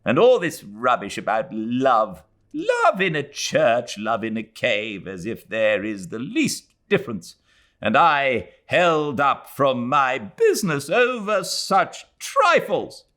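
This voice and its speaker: A man voicing a cranky, weary old Englishwoman who complains in a drawn-out, querulous way. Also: medium pitch, wildly swooping pitch, pushed and bright, voice pushed up, heavily acted. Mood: peevish rant.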